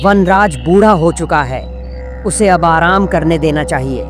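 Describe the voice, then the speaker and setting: A man voicing a cunning, smooth-talking wolf in a cartoon moral story, persuasive and falsely humble. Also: on the high side, unhurried, over music, close to an everyday voice.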